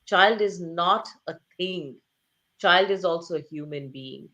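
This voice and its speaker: An earnest woman talks through her ideas in an interview, her voice sitting on the low side. She presses her points with repeated phrases and rhetorical questions and keeps a steady, engaged delivery. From Asia. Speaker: author and interview guest. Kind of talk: conversational interview.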